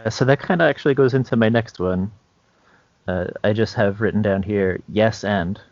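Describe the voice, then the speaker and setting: A man's voice: on the low side, moderate pace, no room sound, highs cut off. A man co-hosting a podcast as a game master, chatting casually in a hesitant, understated way. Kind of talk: casual chat.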